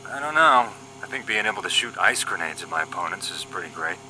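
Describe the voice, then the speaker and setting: A man's voice: low voice, expressive, fast, sharp tone, a little hiss or hum, heavily acted, poor audio. A man acting as a wisecracking young paramilitary agent in a scripted audio drama, cocky and flippant in his banter. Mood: breezy.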